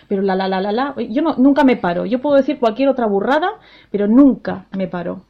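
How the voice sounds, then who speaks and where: A woman's voice: fairly high pitch, lively intonation, a little fast. A woman in her forties, an opera singer, answering interview questions in a cheerful, chatty way and laughing often. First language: Spanish. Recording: dull lo-fi radio sound.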